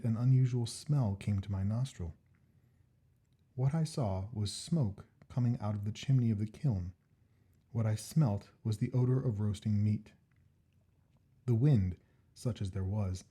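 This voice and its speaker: Guy reading an old ghost story out loud, soft dark husky voice, measured n expressive. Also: fairly low pitch. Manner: measured, expressive, deliberate phrasing. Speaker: story reader. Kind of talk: scripted narration of a classic ghost story.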